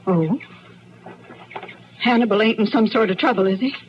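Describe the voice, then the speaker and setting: A woman's voice: mid-range voice, normal speed, natural voice. A woman playing a proud, plain-spoken frontier farm wife with a rural American accent in an old radio western. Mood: composed, proud. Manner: plain-spoken, folksy, firm. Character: proud, strong-minded frontier farm wife fighting for her husband. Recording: muffled old radio broadcast, faint noise.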